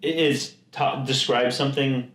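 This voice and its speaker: A man with a middle-pitched voice chats loosely as a podcast co-host. He leans on fillers and acts out quoted exchanges. The recording has the echo of a bare room. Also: fairly even intonation, measured pace, a bit bright. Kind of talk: casual chat.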